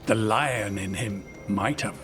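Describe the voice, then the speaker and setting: An actor playing a wise, reflective elf elder who loves nature, speaking in a measured way in a fantasy video game. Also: male voice, fairly low pitch. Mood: gently curious. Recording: game music behind the voice.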